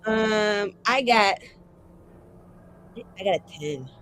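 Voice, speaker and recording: A woman's voice: medium pitch, expressive, slow, fairly bright tone, slight background noise. A woman playing a tabletop roleplaying game, chatting casually with theatrical, sweary reactions. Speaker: tabletop RPG player. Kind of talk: casual chat, dramatic reactions.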